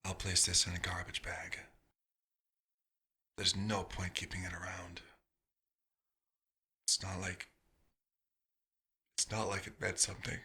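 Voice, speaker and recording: A very deep, husky centuries-old vampire doctor acted by a man, musing in a soft ASMR monologue. He speaks very slowly with halting pauses. His tone is wistful and dryly fatherly, held in a narrow, even line. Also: heavily acted. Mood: dryly disappointed. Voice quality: husky, breathy.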